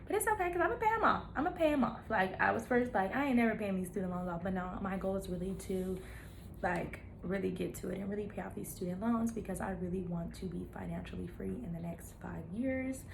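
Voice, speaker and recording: A woman's voice: medium pitch, quick pace, vocal fry. A woman in her thirties talks straight to her viewers in an easy, chatty way, cheering them on with playful asides and laughs between thoughts. Her voice sits in a middle register. A faint hum from the room is behind her. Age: 30s. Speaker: nurse practitioner vlogger. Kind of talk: casual chat to camera.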